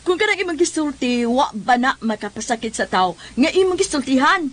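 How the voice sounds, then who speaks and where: A woman's voice: sits high, animated, a little fast. An actress playing an exasperated village woman who nags and lectures in a Cebuano comedy radio drama. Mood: lecturing. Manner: nagging, lecturing. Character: scolding, exasperated village woman, morally reproving.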